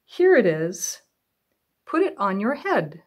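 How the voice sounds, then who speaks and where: A woman's voice: medium pitch, big pitch swings, slow pace. Woman as a patient, lilting mother bear. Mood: encouraging.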